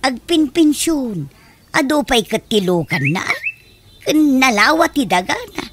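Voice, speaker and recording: A woman's voice: high voice, sing-song, a little slow, a little hiss or hum. A woman playing a chatty, fussing mother in a comedic Ilocano radio drama.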